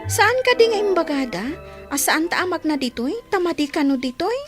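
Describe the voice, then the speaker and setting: A woman's voice: high voice, lively intonation, fairly quick, loud music behind the voice. A woman playing a worried younger child who pleads and nags in an Ilocano radio comedy drama. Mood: worried, scolding.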